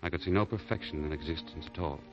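A deep-voiced, sorrowful gentleman lover in a 1940s radio romance. He speaks earnestly and with measure, on a muffled old broadcast. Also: fast. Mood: tender. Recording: muffled 1940 radio broadcast, faint music.

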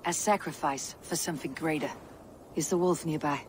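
A man's voice: a little high, steady tone, normal speed, slight background noise, lightly acted. An actor playing a guarded, commanding king of the gods in a video game, terse and resolute. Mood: cold, resolute. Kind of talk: video game cutscene acting.

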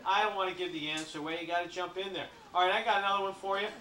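A man's voice: sits high, fairly even intonation, rapid-fire, bright, forceful tone, recorded from a distance, slight background noise. A man with a handheld mic telling jokes to an audience in a cruise ship lounge and teasing the crowd. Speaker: comedian on a ship stage. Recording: roomy home video from the crowd.

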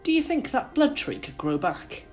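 A woman's voice: high voice, very animated, moderate pace, soft background music. A woman playing an earnest, protective friend in an audio drama.

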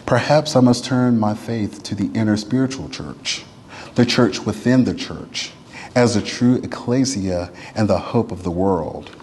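A man reads a written letter aloud in a solemn, oratorical style, with deliberate, emphatic phrasing and slowed, falling endings to phrases. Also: lowish voice, a little mellow, a little hiss or hum. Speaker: reader at a church service. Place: church sanctuary. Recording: long reverb of a large hall.

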